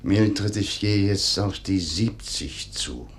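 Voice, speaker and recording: An actor playing a sardonic, easily exasperated husband nearing seventy in a 1950s German radio play. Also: male voice, fairly low pitch, expressive, slow, slight background noise. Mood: stunned, bitterly dry. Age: 60s. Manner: sardonic, exasperated, dramatic swings of delivery. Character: indignant elderly betrayed husband, sardonic and unnerved.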